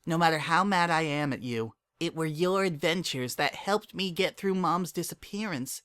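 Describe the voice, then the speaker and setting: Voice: medium pitch, moderate pace, fairly bright tone, pitched above the performer's own voice, close to an everyday voice. A narrator voicing a young pony mare, a hurt but hopeful daughter, emotional and pleading, in a dramatic story reading. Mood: gentle, hurt.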